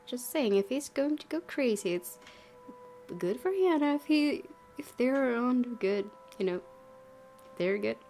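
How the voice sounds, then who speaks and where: Chatty, giggly woman gamer over soft music. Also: a little high, lively intonation, a little slow. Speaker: gaming video host. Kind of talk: casual let's play commentary and read-aloud. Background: soft game music.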